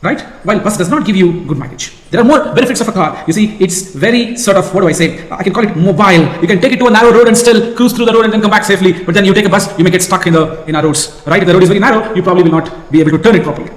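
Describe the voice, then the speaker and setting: A man giving a recorded course lecture, lively and full of rhetorical questions. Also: high voice, rapid-fire, few pauses. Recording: echoey lecture hall with long reverb.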